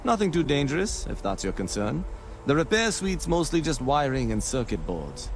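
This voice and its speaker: An actor playing a seasoned space-fleet officer briefing a recruit in a video game, easygoing and folksy. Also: male voice, mid-range voice, sing-song, moderate pace, a little hiss or hum. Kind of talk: scripted video game dialogue.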